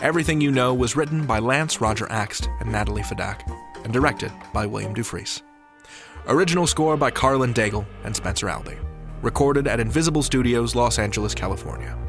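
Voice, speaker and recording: A man's voice: mid-range voice, a little fast, over music. A man hosting a podcast in a polished, playful presenter style. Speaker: podcast host. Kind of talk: interviewing and scripted show outro.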